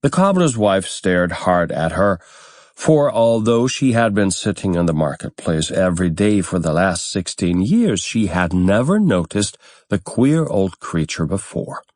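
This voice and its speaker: A man narrating a fairy tale audiobook in a measured, expressive storytelling manner. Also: low-pitched, no echo, clean studio sound.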